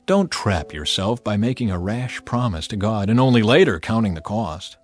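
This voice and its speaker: A man reading scripture as scripted dramatized narration, in a measured, emphatic and expressive way. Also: fairly low pitch, faint music behind. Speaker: audiobook Bible narrator.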